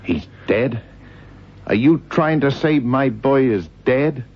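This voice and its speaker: An actor playing an agitated, indignant boxing manager in a radio drama. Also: male voice, medium pitch, expressive, takes it slowly, slightly rough, exaggerated voice. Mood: distraught. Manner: indignant, protesting. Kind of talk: 1960s-70s radio drama acting. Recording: dull, noisy vintage radio recording.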